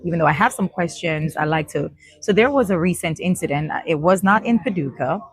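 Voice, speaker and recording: Friendly woman host, lowish voice. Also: animated, brisk, slightly rough. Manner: friendly, affirming, loose phrasing. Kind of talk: casual interview talk.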